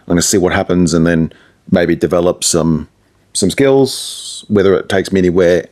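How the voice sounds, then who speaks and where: A man's voice: low-pitched, normal speed, darkish tone. A man talking casually in an interview as a radio presenter, explaining things through anecdotes and asides.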